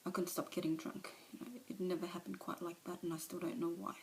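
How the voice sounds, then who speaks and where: Woman performing as a gravelly, ashamed recovering mother. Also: lowish voice, flat delivery, quick pace, a little hiss or hum, natural voice. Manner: confessional, dropping to whispers at times. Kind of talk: dramatic monologue performance. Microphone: phone camera.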